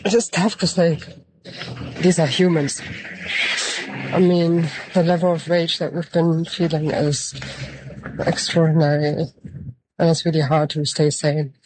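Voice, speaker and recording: A soft-spoken woman from Germany, an activist, recording casual personal voice notes on her phone. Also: deep voice, flat delivery, a little slow, pauses often, background noise. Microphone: phone voice note.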